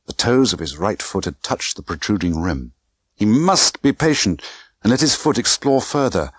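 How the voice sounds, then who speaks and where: A man's voice: on the low side, dry recording, clean studio sound. A man reading a thriller audiobook in a tense, theatrical manner with clipped short phrases. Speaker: audiobook narrator. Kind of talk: dramatic scripted narration of a spy thriller novel.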